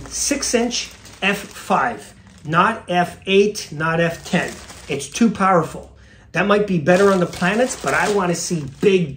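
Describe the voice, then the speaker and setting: A man's voice: sits high, a little hiss or hum. A middle-aged man hosts from an indoor room, talking straight to a desk camera. His delivery is loose and chatty, full of fillers and restarts as he walks viewers through gear choices. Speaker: astronomy channel host. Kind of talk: casual gear advice to camera.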